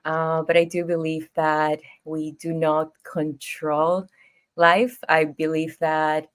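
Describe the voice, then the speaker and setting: A woman in her thirties from Ecuador with a Spanish accent, telling her story as a podcast guest in an expressive, easygoing way. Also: low-pitched, slow pace. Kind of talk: casual interview storytelling.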